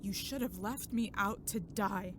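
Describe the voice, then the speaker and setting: A woman's voice: mid-range voice, measured pace, natural voice. A woman playing a defiant, wounded young agent in an audio drama, bitter, sarcastic and emotionally raw. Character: defiant, wounded young female agent, daughter of a cold commander. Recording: clear ambient noise behind voice.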